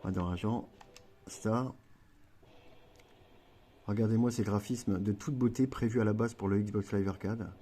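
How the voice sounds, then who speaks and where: Man, gaming tutorial creator. Low, warm voice, loose and rambling delivery with fillers. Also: fairly even intonation, measured pace, pauses often, a little hiss or hum. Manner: chatty and rambling, with many fillers and self-corrections. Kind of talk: casual gameplay tutorial.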